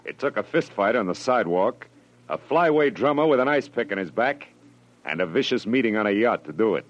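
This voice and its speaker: An actor playing a wry, unflappable American private detective in clipped, laconic 1940s radio style. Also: male voice, fairly low pitch, lively intonation, fairly quick. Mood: tough, punchy. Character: hard-boiled male private detective, wry, worldly and unflappable. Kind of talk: 1940s radio acting, first-person detective narration. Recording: dull vintage radio recording, musical underscore.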